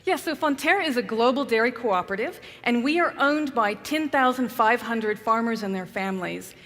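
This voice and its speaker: A woman with a New Zealand accent, a guest speaker being interviewed on a conference stage, talking in a composed, businesslike way. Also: on the high side. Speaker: customer guest on keynote stage. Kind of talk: onstage interview. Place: large conference hall stage. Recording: long hall reverb.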